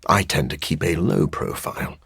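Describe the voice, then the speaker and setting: An actor playing a brooding, erudite living vampire scientist in a video game, speaking formally with dry, deadpan wit and a husky, breathy voice. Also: male voice, a bass voice, normal speed, dry recording, full character voice. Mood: somber, reflective. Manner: formal, articulate, dryly deadpan. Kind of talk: video game character acting.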